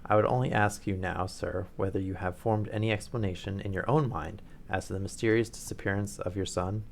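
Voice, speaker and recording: A man voicing a calm, polite male detective who speaks in a bland, measured way. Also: lowish voice, creaky. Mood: bland, probing.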